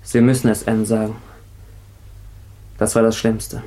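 An actor playing an agitated escaped convict in a radio crime drama, confessing in a halting, emotional way. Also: male voice, on the low side, little pitch movement, mellow, close to an everyday voice. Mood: bitter, downcast.

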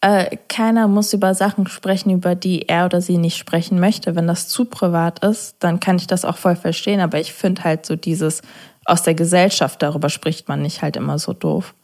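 A woman's voice: lowish voice, steady tone, normal speed, darkish tone. A young woman in a casual discussion, explaining her view in a reasoned, conversational way.

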